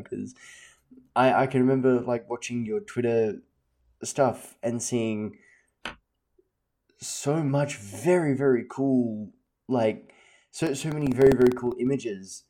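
Mellow-voiced man hosting an interview. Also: mid-range voice. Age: 40s. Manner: enthusiastic, stumbling over repeats. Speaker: interview host. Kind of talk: casual interview chat.

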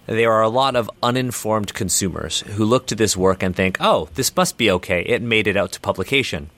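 Guy with a lowish voice, earnest and chatty, keeps restarting his sentences as he explains. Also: fairly bright tone, no room sound. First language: Chinese not first language. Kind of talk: conversational interview answers.

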